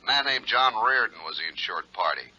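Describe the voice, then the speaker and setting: A businesslike insurance man from old radio drama. His voice is lowish and piercingly forceful, with clipped phrasing. The recording is dull and vintage. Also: animated, a little fast, heavily acted. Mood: brisk, urgent. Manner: terse, clipped. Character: brisk, businesslike male insurance man handing out an assignment. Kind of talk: 1950s radio drama dialogue.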